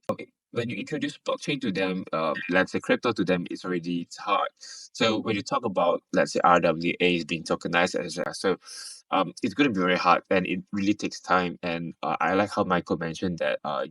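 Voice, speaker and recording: A man's voice: deep voice, fairly bright tone, no echo. A man from Singapore who hosts an online audio space panel. He speaks in a casual, easygoing way and uses fillers. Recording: dry, distant online audio call.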